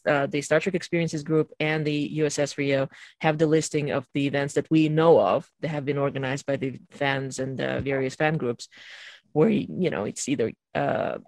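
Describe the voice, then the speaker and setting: A podcast host moderates an online group chat in a mid-pitched voice. The delivery is wordy and halting, full of hesitations, in casual conversation. The sound is dry, with very little room in it. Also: dry recording. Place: online video call.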